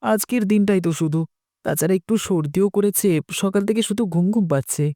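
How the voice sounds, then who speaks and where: A young village son from a cartoon tale, played by a woman, speaks fast with a soft, mellow tone. He comes across as earnest and protesting, recorded dry and close. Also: mid-range voice, no echo, natural voice. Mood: weary, reluctant. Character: village blacksmith's young son, reluctant but loyal. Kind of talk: cartoon dialogue acting.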